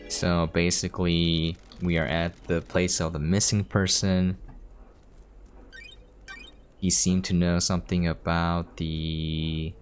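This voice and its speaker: A man's voice: low voice, flat delivery, slow pace, faint music behind. A man giving casual gameplay commentary as a streamer, explaining things as he plays. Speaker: video game streamer.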